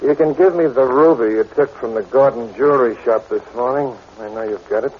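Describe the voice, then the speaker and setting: An American actor playing a coolly calculating blackmailer in a 1940s radio drama, smug and insinuating. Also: male voice, medium pitch, brisk, a little hiss or hum, natural voice. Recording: muffled old radio recording.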